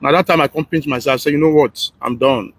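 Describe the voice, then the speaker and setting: A man's voice: mid-range voice, fast, no echo. A man with a Nigerian accent chatting casually and insistently, repeating himself to press his point. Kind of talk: casual, argumentative chat in Nigerian Pidgin and English.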